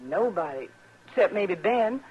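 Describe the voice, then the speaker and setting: An actress playing a worried, stoic ranch wife with a rural western American accent, plainspoken and halting, in 1950s radio drama style. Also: female voice, medium pitch, big pitch swings, a little mellow. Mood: plain, earnest. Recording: muffled vintage radio recording.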